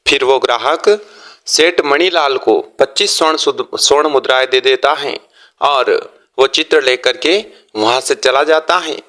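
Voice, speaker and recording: A man narrating a scripted moral story for children, deliberately, phrase by phrase. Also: medium pitch, bright, forceful tone.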